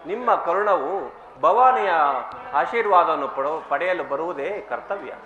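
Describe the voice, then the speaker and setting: A man's voice: on the high side, unhurried, sharp tone, lo-fi sound. A man playing a reverent, earnest creator god in formal, emphatic mythological stage declamation. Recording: roomy echo.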